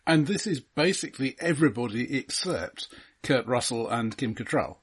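A man's voice: medium pitch, expressive, normal speed, slightly rough. A middle-aged man in a casual, analytical conversation about films as a podcast co-host. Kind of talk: casual analytical film discussion.

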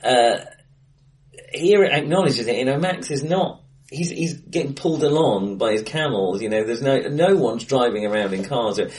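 A man's voice: mid-range voice, lively intonation, normal speed. Hesitant man recorded far from the mic. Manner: hesitant, many restarts and fillers. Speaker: podcast co-host. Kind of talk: casual film discussion. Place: acoustically bright room. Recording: distant mic in a bright, live room.

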